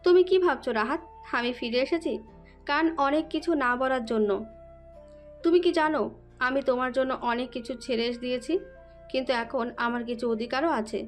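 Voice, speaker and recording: A woman's voice: high voice, moderate pace, faint music behind, lightly acted. A woman playing a confident young rival in love with a pointed, self-assured manner in an audio romance drama. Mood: challenging.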